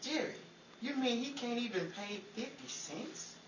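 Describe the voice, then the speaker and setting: A man's voice: extremely high pitch, expressive, measured pace, far from the mic. Man playing chummy, wry husband for retro radio comedy; noisy recording, off-mic. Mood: amused, wry. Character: affable, dryly witty husband and radio man. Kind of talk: old-time radio comedy acting. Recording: echoey, noisy room, off-mic.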